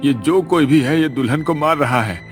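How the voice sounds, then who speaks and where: A concerned villager from a cartoon, played by a man, with a raised pitch. He is earnest and emphatic, and light music runs underneath. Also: moderate pace, close to an everyday voice. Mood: resolute. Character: concerned village men, resolute and speculating.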